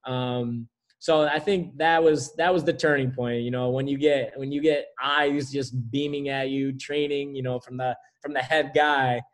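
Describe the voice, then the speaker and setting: A man talks about his work as a basketball skills trainer in a loose, rambling way, full of fillers and restarts. His pitch stays flat and even throughout, with a mid-range voice. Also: unhurried. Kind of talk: casual conversation.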